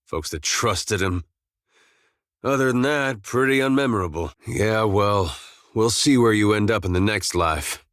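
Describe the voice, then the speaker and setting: A low male voice, recorded close and dry as a video game farmer turned adventurer. He is easygoing and plain-spoken, with folksy phrasing. His humor is wry and understated. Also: measured pace, fairly bright tone, no echo. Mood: reproachful. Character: laid-back, wry farmer turned adventurer, good-natured young-to-middle-aged man. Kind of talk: scripted video game dialogue.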